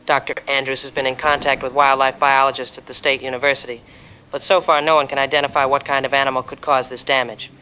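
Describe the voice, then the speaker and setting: A man's voice: mid-range voice, flat delivery, fast talker, bright, forceful tone, close to an everyday voice. An actor playing a serious man giving a report in a scripted radio drama. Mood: serious, concerned. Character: serious man reporting on mysterious animal attacks. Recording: dull lo-fi sound, faint noise.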